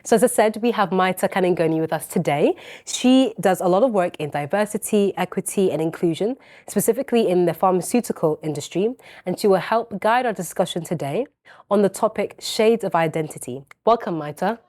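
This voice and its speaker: A woman's voice: fairly low pitch, a little fast, a little mellow. A teenage girl hosting a talk show in an upbeat, enthusiastic way, with an English accent that has touches of Northern Irish. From born in England, raised in Northern Ireland. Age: teens. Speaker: host.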